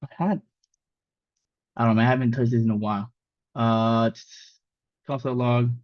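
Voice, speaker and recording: A man's voice: mid-range voice, fairly even intonation, moderate pace, no room sound. A man born in Mexico, a native Spanish speaker, casually leading a live coding lesson with lots of fillers and restarts. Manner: casual, rambling with many fillers. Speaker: coding instructor. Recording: dull lo-fi sound.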